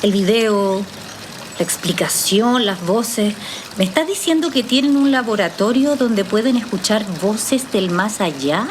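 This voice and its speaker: An actress with a Chilean accent playing a skeptical, serious psychologist with a questioning manner in a naturalistic audio drama. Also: female voice, medium pitch, expressive, a little slow, background noise, close to an everyday voice. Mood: incredulous. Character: skeptical female trauma psychologist, probing and incredulous.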